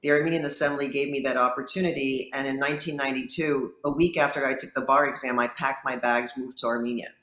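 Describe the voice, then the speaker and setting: Woman judge, bright voice in an echoey room. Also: very deep voice, steady tone, quick pace, hardly any pauses. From Lebanon. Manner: earnest, reflective storytelling. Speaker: judge and guest. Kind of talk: personal interview answers. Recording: roomy, echoey sound.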